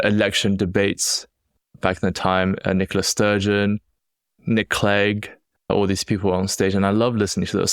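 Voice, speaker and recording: A man's voice: deep voice, vocal fry, smooth, dry recording, studio quality. A young man from China with a Chinese-accented English touched by British speech, hosting a solo podcast and telling his story in an earnest, reflective way with frequent restarts. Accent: Chinese-accented English with British influence. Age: young adult. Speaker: podcast host.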